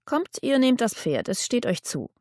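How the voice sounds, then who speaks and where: A woman's voice: on the high side, very animated, moderate pace, a little mellow, dry recording, studio quality, voice pushed up. A woman voicing a vain, courtly nobleman with a polite, condescending manner in an audiobook. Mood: smug.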